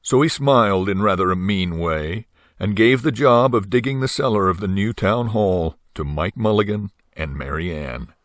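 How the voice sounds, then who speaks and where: A man's voice: fairly low pitch, normal speed, no room sound, studio quality. An older man reading a children's story aloud as a narrator. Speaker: storybook reader.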